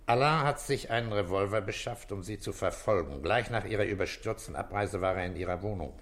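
An actor playing a persistent police detective in a German radio drama, probing in a terse, matter-of-fact way. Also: male voice, on the low side, fairly quick, a bit bright. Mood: grave, factual. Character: persistent, composed male police detective questioning a suspect.

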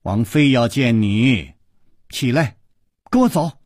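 A man voicing a haughty, sharp-tongued young princess in an audiobook, sneering and scolding. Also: medium pitch, animated, slow pace, dry recording, clean studio sound, voice pushed up. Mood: scornful.

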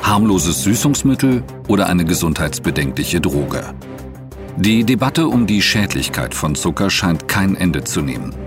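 A man reading scripted documentary narration in a formal, measured manner. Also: deep voice, sing-song, over music.

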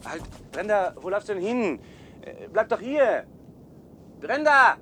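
A man's voice: high voice, big pitch swings, a little slow, pushed and bright, a little hiss or hum, heavily acted. An actor playing an earnest male visitor in a science fiction radio play, explaining things with some urgency. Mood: urgent calling.

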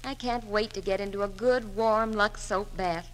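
A woman's voice: medium pitch, fairly even intonation, moderate pace, close to an everyday voice, poor audio. A woman playing a tired, chatty, harried housewife in a 1940s radio advertisement, grumbling and exclamatory. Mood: weary, chatty. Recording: vintage 1940s radio, faint noise.

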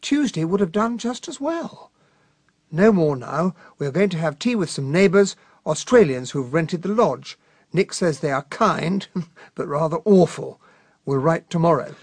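An actor playing a genial, earnest English gentleman, a detective's loyal sidekick, mild and polite with an English accent in a radio drama. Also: on the high side, expressive, unhurried, creaky, slightly rough, no echo, exaggerated voice. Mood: earnest, puzzled. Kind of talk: radio drama narration and dialogue.

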